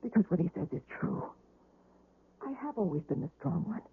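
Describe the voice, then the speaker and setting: An actress playing a weary, despairing wife recovering from surgery, mournful and yearning, in a radio drama. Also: female voice, on the low side, very animated, a little fast, vocal fry, phone-quality sound, a little hiss or hum. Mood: anguished, pleading. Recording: roomy, band-limited old radio recording.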